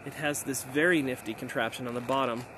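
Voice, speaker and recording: A man in a casual hands-on demonstration, talking in an unhurried, explanatory way as an amateur radio operator outdoors. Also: mid-range voice, fairly even intonation. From United States. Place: outdoors on a mountainside. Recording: noisy outdoor camera recording. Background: outdoor ambient noise.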